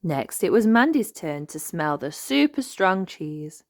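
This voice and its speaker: A woman reading a children's storybook aloud in an expressive narrating style. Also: on the low side, a little slow. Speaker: storybook reader.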